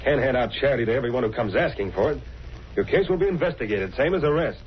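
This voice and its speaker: A man's voice: medium pitch, expressive, fast, lightly acted. A man playing a brusque, officious, corrupt official in a vintage radio crime drama. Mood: curt, dismissive. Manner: curt, officious, dismissive. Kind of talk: 1930s-40s radio crime drama acting. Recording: muffled, hissy vintage radio recording.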